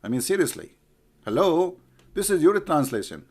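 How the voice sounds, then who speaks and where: Male stream host, Arabic first language, sarcastic and mocking, slightly high voice. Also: big pitch swings, normal speed, a little mellow. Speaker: livestream host. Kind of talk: polemical livestream commentary.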